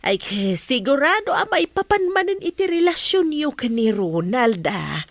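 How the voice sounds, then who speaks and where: A woman's voice: high voice, big pitch swings, moderate pace. A woman playing a cheeky, gossipy household helper in a radio drama, chatty and exclaiming. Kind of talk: comic Ilocano radio drama acting.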